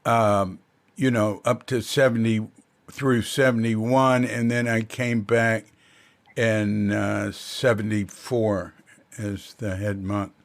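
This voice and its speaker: A man's voice: on the low side, little pitch movement, takes it slowly. An older man from Texas hosting an interview, chiming in with brief, encouraging questions and remarks. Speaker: host. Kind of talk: interview conversation.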